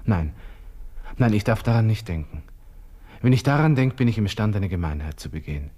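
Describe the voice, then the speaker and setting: Husky, brooding young Austrian lieutenant. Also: male voice, fairly low pitch, lively intonation, mellow. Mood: anguished, reflective. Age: 20s. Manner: halting, self-questioning, talking to himself. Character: despairing young Austrian army lieutenant, brooding alone at night. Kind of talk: radio drama interior monologue.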